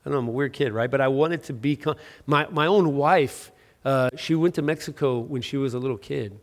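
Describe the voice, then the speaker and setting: A middle-aged man preaches in a loose, conversational way, joking at his own expense and restarting his sentences often. His voice sits at an ordinary middle pitch. The sound carries the long reverb of a large hall. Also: brisk. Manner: conversational, self-deprecating, many restarts and fillers. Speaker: pastor. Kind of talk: sermon.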